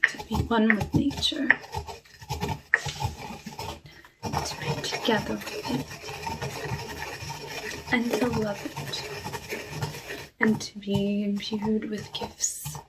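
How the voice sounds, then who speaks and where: A woman acts out a cursed witch of the woods in a hushed ASMR roleplay. The witch speaks very slowly and softly, slipping into whispers, with a wistful, genteel and quietly sinister air. Loud noise, clicks and clinking dishes sit around the voice. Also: mid-range voice, fairly even intonation. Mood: intrigued, musing. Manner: soft, unhurried, wistful, genteel, drawn-out phrasing. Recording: noisy, with clicks and dish clatter.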